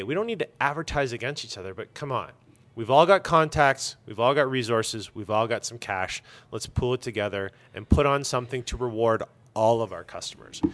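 A man's voice: mid-range voice, a bit bright. A man talking casually and emphatically in an interview, giving blunt opinions as a shop owner.

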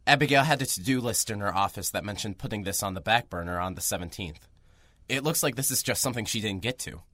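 A man's voice: on the low side, quick pace, bright, forceful tone, no room sound. A young man narrating an audio drama in first person as a reporter, dry and matter-of-fact. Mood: wry. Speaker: narrator and lead character, a young radio reporter.

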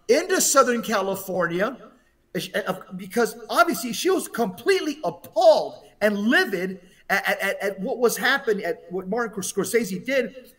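A man's voice: very high voice, fairly bright tone, distant mic. A man giving impassioned talk commentary in an emphatic, preaching way, driving points home with rhetorical questions.

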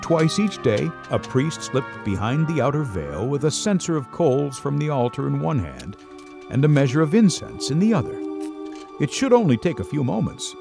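A man's voice: on the high side, big pitch swings, moderate pace, vocal fry, music in the background. A man narrating a scripted Bible story in a dramatic storytelling style.

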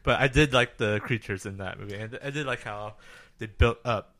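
A man co-hosting a casual film review chat, agreeable and quick to echo what is said. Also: fairly low pitch, fairly bright tone. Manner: agreeable, often echoing the other speaker. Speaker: podcast co-host.

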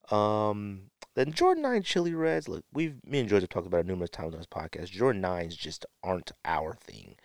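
A man's voice: low-pitched, no echo, studio quality. A man hosting a podcast solo in a casual, rambling, slangy way, sniffling a little with a stuffy nose. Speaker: podcast host.

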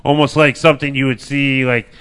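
A man's voice: medium pitch, little pitch movement, a little fast, a bit bright, clean tone. A man hosting a casual wrestling podcast, joking, swearing and rambling loosely. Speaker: podcast host. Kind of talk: casual chat.

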